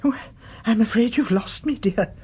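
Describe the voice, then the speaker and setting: An actress playing a genteel, sympathetic but prying older neighbour in a radio drama, with a British accent and a polite, coaxing manner. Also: female voice, a little high, moderate pace, darkish tone, slightly rough. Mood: kindly, bemused.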